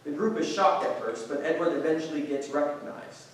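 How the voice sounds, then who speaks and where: A man's voice: on the high side, brisk. A man reading stage directions as scripted narration at a staged play reading. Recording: very echoey room, distant mic.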